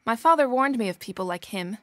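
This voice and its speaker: A woman's voice: a little high, animated, a little fast, a bit bright, no room sound. An actress playing a proud, earnest, headstrong young woman in a period audio drama, with formal diction. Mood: indignant.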